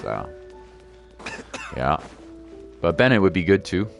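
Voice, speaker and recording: A man's voice: sits low, sing-song, slow pace, vocal fry, soft background music. A man streaming a game and chatting casually with viewers, slangy and reassuring, repeating his points for emphasis. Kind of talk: casual livestream chat with viewers.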